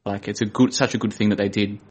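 A man's voice: fairly low pitch, fairly even intonation, fast talker, a little mellow, husky. A man hosting a casual chat show, chatty and teasing with his guests. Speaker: host.

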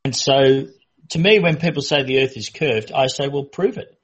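A man in casual discussion, speaking in a measured way with stammering restarts. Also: medium pitch, husky. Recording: muffled lo-fi recording.

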